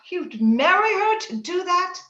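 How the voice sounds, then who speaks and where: In a roomy, slightly dull recording, a woman plays a jealous, anguished young married lady of an old-time radio drama. The voice is high and the delivery haughty and melodramatic. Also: very animated, pushed and bright, exaggerated voice. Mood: pleading, distraught. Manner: melodramatic, halting when upset. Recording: roomy, slightly dull remote-call sound.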